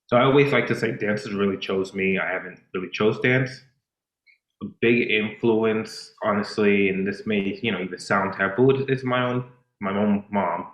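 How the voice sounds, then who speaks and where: Guest, male, deep voice, Spanish-speaking upbringing, thoughtful, lots of ums, roomy echo. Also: normal speed, a bit bright. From Dominican. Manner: reflective, many fillers and restarts. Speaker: dancer and choreographer, podcast guest. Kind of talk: casual interview chat.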